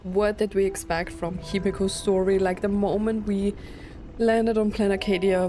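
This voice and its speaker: A woman doing let's play commentary, thinking aloud in disbelief and reading game text out. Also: medium pitch. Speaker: gaming streamer. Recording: game music behind the voice. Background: game music and game dialogue.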